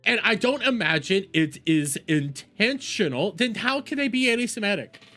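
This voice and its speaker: A man's voice: sits high, big pitch swings, normal speed, sharp tone, soft background music. A man hosting a reaction video, giving heated, casual opinion commentary in an argumentative, exasperated and mocking way, repeating phrases for emphasis.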